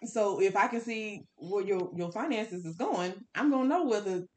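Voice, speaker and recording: A woman's voice: medium pitch, lively intonation, moderate pace, a little mellow, poor audio. A woman co-hosting a podcast, casually discussing books in a candid, opinionated way with blunt, colloquial phrasing. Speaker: podcast co-host.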